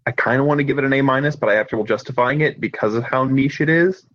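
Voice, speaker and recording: A man's voice: mid-range voice, fast talker, no room sound. A man co-hosting a podcast, giving casual, opinionated game review commentary over a lo-fi microphone.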